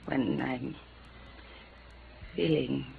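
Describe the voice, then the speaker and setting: A woman's voice: rumbling low, drawn-out words, vocal fry, heavily acted. A woman playing a frail, vague, wistful middle-aged mother who drinks, speaking in a languid, hesitant, genteel way in a 1950s radio drama. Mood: vague, gentle. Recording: dull vintage radio recording with noise.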